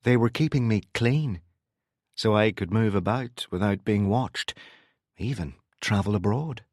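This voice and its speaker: A man's voice: on the low side, measured pace, a little mellow, no echo, studio quality. A man voicing a guarded, secretive ex-militant with a Northern Irish accent in a narrated novel. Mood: guarded, confessional. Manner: evasive, feigned casualness.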